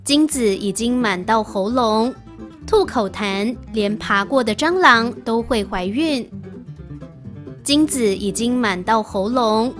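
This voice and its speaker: A woman's voice: on the high side, slow pace, soft background music. A woman reading short scripted prompts aloud. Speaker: reader of translation prompts.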